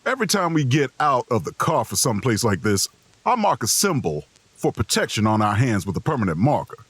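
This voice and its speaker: Tired drifter narrating a horror podcast drama, folksy and subdued, raspy-ish husky voice, pitch moves around a lot. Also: male voice, very animated, a little fast, fairly bright tone, no room sound. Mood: tense, weary. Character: weary, watchful artist drifter narrating a horror journey. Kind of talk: audio drama first-person narration and dialogue.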